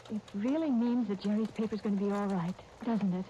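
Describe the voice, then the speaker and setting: A woman's voice: mid-range voice, fairly even intonation, brisk, warm tone, muffled recording, natural voice. An actress playing a devoted, reassuring young woman in love in an earnest, affectionate 1930s radio soap style. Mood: hopeful. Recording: noisy 1939 radio broadcast disc.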